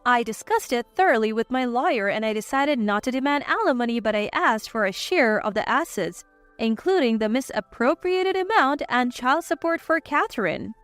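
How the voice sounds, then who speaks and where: Female story narrator, very high voice, animated delivery, music underneath. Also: moderate pace, clear, resonant tone, no echo. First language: English. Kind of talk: scripted first-person story narration.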